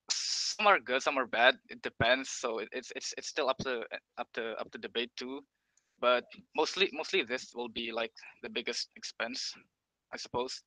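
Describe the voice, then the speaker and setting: A young man gives an informal student pitch in a bright, medium-pitched voice. He moves haltingly, repeating words while he looks for the next phrase. The sound is dry, with little room around it. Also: no echo, rough recording. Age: young adult. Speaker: student presenting a startup pitch. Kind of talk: informal class presentation.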